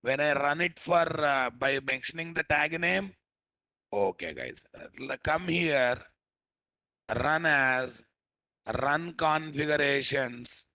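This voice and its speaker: Rough-voiced male instructor. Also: fairly high pitch, takes it slowly, pushed and bright, no echo. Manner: scolding, teasing, keeps asking class questions. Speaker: programming instructor. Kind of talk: live coding class lecture.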